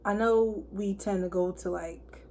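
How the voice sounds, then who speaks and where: A hoarse woman of twenty-one, chatting casually to camera and packing her talk with fillers. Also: medium pitch, fairly even intonation, measured pace, mellow, a little hiss or hum. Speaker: vlogger. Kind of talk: casual story time chat.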